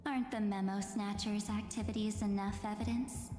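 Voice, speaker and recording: A woman playing a calm, mocking, enigmatic game character, speaking in a deliberate, condescending and theatrical way. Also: medium pitch, close to an everyday voice. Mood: grave, scornful. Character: calm, mocking, enigmatic woman revealing cruel cosmic truths. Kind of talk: theatrical scripted game dialogue. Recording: echoey cavernous hall effect, music behind.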